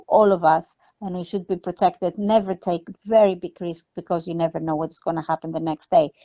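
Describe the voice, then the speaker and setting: A woman answering interview questions over the phone as a company executive, explaining things in an earnest, measured way. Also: dark tone. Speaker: film production company CEO being interviewed. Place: on a phone call. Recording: muffled, low-fidelity phone interview.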